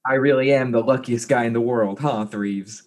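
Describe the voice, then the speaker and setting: A man's voice: mid-range voice, normal speed, a little mellow, close to an everyday voice. A man playing a naive, affectionate, goofy space butler with a hesitant, earnest manner in an audio comedy. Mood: affectionate, proud. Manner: hesitant, earnest, dim but sweet. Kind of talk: comedic audio drama acting.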